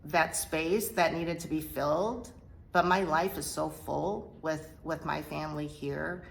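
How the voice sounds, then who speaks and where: A middle-aged woman from Korea telling her life story as an interview guest, speaking hesitantly with many pauses and fillers. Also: sits low, vocal fry, slight background noise, low-quality recording. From South Korea. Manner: hesitant, halting, soft-spoken with many fillers. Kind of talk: personal testimony interview.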